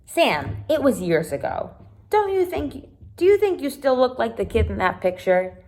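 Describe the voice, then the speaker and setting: A woman's voice: high voice, big pitch swings, normal speed, a little mellow, voice pushed up. A woman voicing an earnest young girl in a storybook, speaking in an emphatic, coaxing way. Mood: reassuring. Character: earnest, reassuring young girl companion, always eager.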